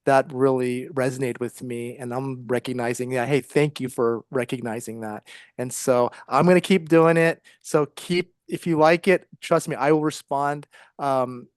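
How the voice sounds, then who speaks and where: A middle-aged man with an American accent, speaking conversationally as an interview guest, with halting, um-filled phrasing. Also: medium pitch, clear, resonant tone, no room sound, studio quality. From Ohio, United States. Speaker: interview guest, psychologist and author.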